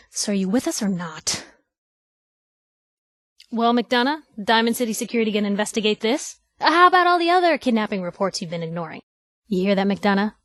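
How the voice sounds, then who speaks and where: A woman's voice: fairly high pitch, no room sound, studio quality, natural voice. A woman voicing a sardonic, outspoken young newspaper reporter companion in a video game, in a wry conversational way. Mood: indignant, insistent.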